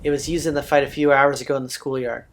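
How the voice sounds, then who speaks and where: A man's voice: medium pitch, little pitch movement, fast, a little hiss or hum. A man hosting a let's play, reading visual novel narration aloud. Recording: music playing behind the voice. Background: game soundtrack.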